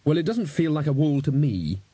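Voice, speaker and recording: A self-possessed sixteen-year-old girl, played by a male reader. She answers crisply and evenly in a raised voice with a husky edge. The sound is dry and close. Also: on the high side, very animated, a little fast, dark tone, dry recording, pitched above the performer's own voice. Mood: composed.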